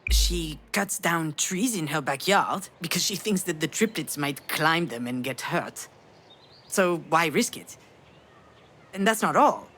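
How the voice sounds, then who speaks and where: A grieving, tender mother with a low voice, speaking in a confiding, reflective way. She hesitates as she opens up, in the manner of a dramatic video game monologue. Clear background ambience sits behind her. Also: expressive, unhurried, a bit bright, a little hiss or hum. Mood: gentle, storytelling.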